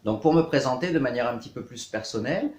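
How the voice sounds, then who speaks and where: A man in his thirties from south-east France, a riding coach, introducing himself in measured, hesitant short phrases for an online course. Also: medium pitch, lively intonation, a little mellow. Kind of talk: scripted-outline self-introduction to camera for an online course. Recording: echoey, reverberant room.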